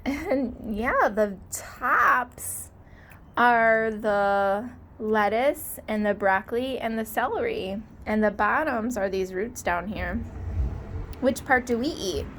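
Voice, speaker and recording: Expressive woman teacher reading a storybook. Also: a little high. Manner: expressive, drawn-out emphasis, prompting listeners with questions. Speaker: teacher reading aloud to class. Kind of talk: animated storybook read-aloud with questions to children. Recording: light background noise.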